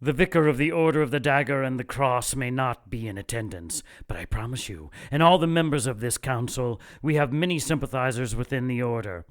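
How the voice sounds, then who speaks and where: Guy acting ruthless church zealot boss, audio drama, measured n imperious, cold menace. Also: medium pitch, natural voice. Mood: cold authority. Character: cold ruthless male church zealot leading a secret council.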